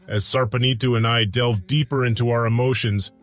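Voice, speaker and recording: A man acts out an ancient alien god, brooding and lovestruck, in an audiodrama. His medium-pitched male voice is solemn and formal, with measured phrases and weighty pauses. Music plays behind him as he narrates in the first person. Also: fairly even intonation, lightly acted.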